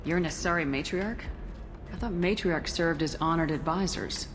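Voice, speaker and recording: An actress playing a curious, composed space soldier in a video game, asking questions in a measured, probing way. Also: female voice, fairly low pitch, over music, natural voice.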